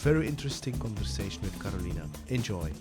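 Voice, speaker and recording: Halting male podcast host. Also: lowish voice, animated, loud music behind the voice. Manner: halting, many fillers and restarts, friendly. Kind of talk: casual interview talk.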